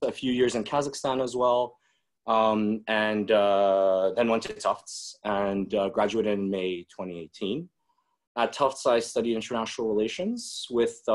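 Young man talking loosely with fillers. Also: fairly low pitch. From Czech Republic. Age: 20s. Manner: loose, sprinkled with "you know" and restarts. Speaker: guest speaker. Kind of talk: casual webinar talk.

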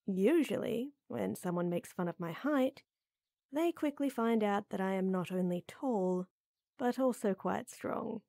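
A woman playing a tall, strong lady knight who flirts and teases softly and coyly in a roleplay audio drama. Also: medium pitch, vocal fry, no room sound, studio quality, heavily acted. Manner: teasing, coy, soft and intimate with chuckles.

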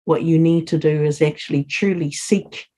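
A woman's voice: low voice, fairly even intonation, moderate pace, warm tone. A middle-aged woman speaking earnestly as a guest on a video call, urging listeners on. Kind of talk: earnest interview talk.

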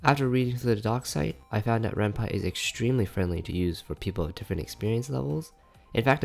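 A man's voice: on the low side, creaky. A man giving scripted tutorial narration as a game developer presenting his project, on a close microphone. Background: faint background music.

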